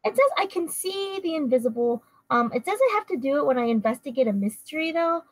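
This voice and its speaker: A woman's voice: high voice, expressive, fast, no echo. A woman playing a tabletop role-playing game, thinking aloud casually and somewhat tentatively.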